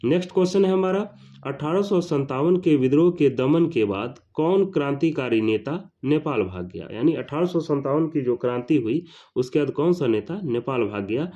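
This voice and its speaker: A man's voice: medium pitch, lively intonation, moderate pace, dark tone. A man teaching an exam question-and-answer lesson, repeating questions and answers for emphasis. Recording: slightly dull lo-fi sound.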